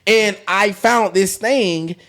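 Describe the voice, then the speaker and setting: A man's voice: high-pitched, slow pace, fairly bright tone. A man in his late twenties in a casual chat, talking hesitantly but with animation. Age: 20s. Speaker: podcast co-host.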